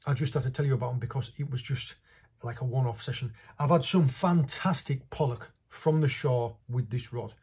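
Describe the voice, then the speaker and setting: A middle-aged man with a slightly husky voice, giving an informal, off-the-cuff product review to camera in a small indoor room. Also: medium pitch, normal speed, a little mellow. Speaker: fishing vlogger reviewing tackle. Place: small indoor workshop with stone wall.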